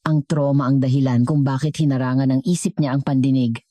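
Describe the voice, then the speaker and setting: A woman's voice: extremely low pitch, fairly even intonation, a little fast, dark tone, no room sound. A woman with a Filipino accent reading a scripted, dramatic story as a storyteller.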